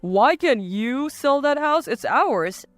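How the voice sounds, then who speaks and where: A woman's voice: high-pitched, lively intonation, slow, no echo, soft background music. A woman voicing an arrogant, scornful husband in a dramatized story, with blunt delivery. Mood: angry, indignant. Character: arrogant, scornful adult husband, quick to bluster.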